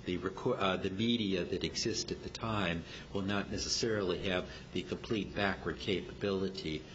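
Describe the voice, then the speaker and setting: A man speaking haltingly and hesitantly as he gives an informal technical explanation at a club meeting. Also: a bit bright. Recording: dull, noisy amateur meeting recording with rumble.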